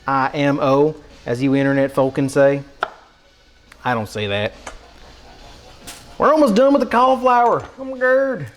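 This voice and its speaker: A man putting on an American Southern country accent as a goofy, excitable countryman, rambling casually to a livestream chat in a folksy drawl. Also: on the high side, normal speed, pauses often, lightly acted. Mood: mock-patriotic, playful. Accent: put-on American country, Southern. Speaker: live streamer cooking for chat.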